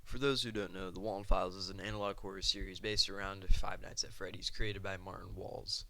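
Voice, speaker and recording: Fast-talking man giving casual video commentary. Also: deep voice, steady tone, vocal fry, pushed and bright, slight background noise. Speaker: video essay host.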